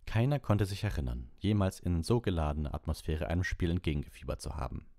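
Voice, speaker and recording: A man's voice: sits low, lively intonation, fast, vocal fry, warm tone, no echo, clean studio sound. A man reading an audiobook as narrator, in scripted storybook narration.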